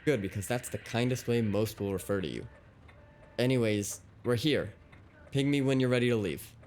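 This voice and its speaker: A man's voice: medium pitch, moderate pace, a little mellow, a little hiss or hum, natural voice. A man playing a blunt, wry, grumbling companion in a cyberpunk noir audio drama.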